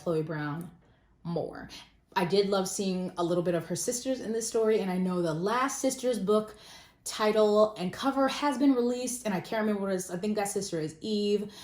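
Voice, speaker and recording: A woman giving a casual, self-deprecating book review in an informal, conversational way. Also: medium pitch. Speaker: book vlogger. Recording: roomy echo.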